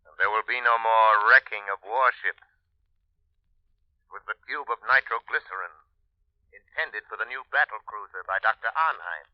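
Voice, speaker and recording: A man's voice: on the low side, moderate pace, pauses often, dry recording, dull audio, lightly acted. An actor playing a confident male hero who speaks in a cool, commanding way in an old radio drama.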